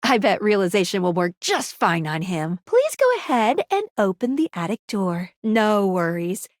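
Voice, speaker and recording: A woman voicing a perky, earnest guide character in a video game, chipper, quippy and expressive with an eager, slightly nervous streak. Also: medium pitch, normal speed, no echo, clean studio sound, lightly acted. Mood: chipper, helpful. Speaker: game character guiding the player. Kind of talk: animated video game voice acting.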